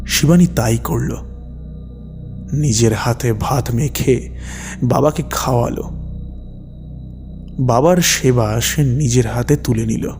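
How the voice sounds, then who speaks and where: Man, mellow dark voice, horror story narrator, deliberate phrasing, long reverb, soft music behind. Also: medium pitch. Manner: measured, deliberate, pausing between short phrases. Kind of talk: slow dramatic storytelling narration. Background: background music.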